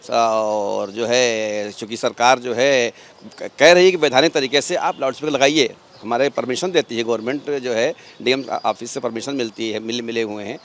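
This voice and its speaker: A man's voice: medium pitch, steady tone, normal speed, hardly any pauses, fairly bright tone. Man, mid-range voice, local interviewee, earnest explaining, repeats and rephrases, outdoor news interview. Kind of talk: street interview answers. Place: outdoors outside a mosque.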